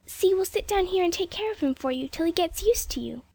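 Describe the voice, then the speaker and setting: A woman's voice: very high voice, expressive, brisk, dark tone, no room sound, pitched above the performer's own voice. A woman reading a storybook, voicing an anxious, earnest young girl who often whispers. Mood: coaxing.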